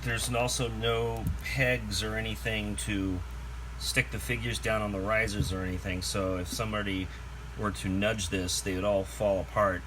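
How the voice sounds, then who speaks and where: A man's voice: on the low side, flat delivery, normal speed. A man giving a casual, rambling unboxing commentary with wry asides, as a collector showing off a toy. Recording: noisy homemade video recording.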